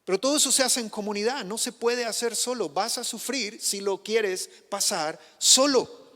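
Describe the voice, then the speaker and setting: A man from Chile, a pastor, preaching a sermon, pushing his voice up on questions and sinking it low to drive points home. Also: moderate pace, bright, forceful tone, in a large hall. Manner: passionate preaching, rising on questions and dropping low for emphasis. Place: church.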